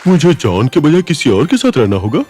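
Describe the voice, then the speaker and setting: A man's voice: a little high, big pitch swings, fairly quick, dark tone, faint music behind. A man playing a lazy, sardonic house cat who grumbles in sighing asides, in a dubbed children's cartoon. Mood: worried.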